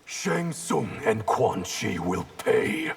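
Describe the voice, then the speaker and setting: An actor playing a proud, cold, disdainful ninja in a video game, stern and sardonic with a gravelly voice. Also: male voice, lowish voice, very animated, slow pace, heavily acted. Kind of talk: video game fight banter.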